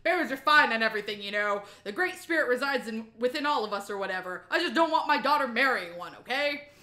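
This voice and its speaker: Woman playing blustering, indignant guys, high and animated. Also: fast talker, roomy sound. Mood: exasperated. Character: indignant, blustering dad and his rowdy bros.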